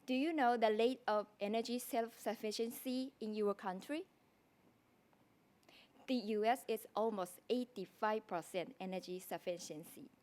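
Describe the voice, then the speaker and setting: A woman's voice: medium pitch, a little slow, darkish tone. A young woman with a strong Japanese accent giving a prepared thesis presentation, speaking carefully with frequent restarts. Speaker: design graduate student presenting a thesis.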